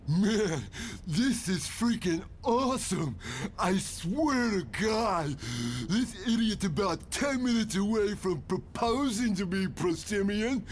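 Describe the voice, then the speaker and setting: A man's voice: sits high, a little hiss or hum, heavily acted. A man voicing a crude mutant villain who also poses as a gushing woman in a motion comic. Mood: gloating, crude. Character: crude huge male villain catfishing as a gushing lovelorn woman.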